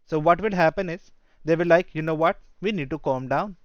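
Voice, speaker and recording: A man's voice: a little high, a little fast, no room sound, highs cut off, lightly acted. A man with an Indian accent improvising a cocky, touchy police job applicant who rambles and keeps asking for agreement in a comedic roleplay. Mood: rambling, earnest. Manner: rambling, repeatedly seeking agreement with "correct?" and "you know".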